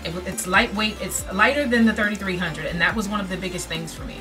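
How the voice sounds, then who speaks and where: A woman's voice: medium pitch, quick pace, distant mic, music in the background. Chatty woman vlogging from a dim bedroom. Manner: chatty, projects her voice. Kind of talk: casual vlog to camera. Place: dim bedroom with string lights. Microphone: built-in camera mic.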